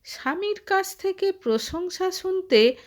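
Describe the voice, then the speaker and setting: A woman narrating a scripted short story in an expressive storytelling manner. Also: very high voice, takes it slowly.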